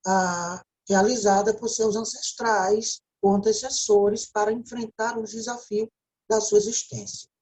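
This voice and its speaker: A woman's voice: mid-range voice, steady tone, unhurried, a little mellow. A woman with a Brazilian Portuguese accent gives a recorded video lecture, explaining step by step and often checking in with a tag question. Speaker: teacher.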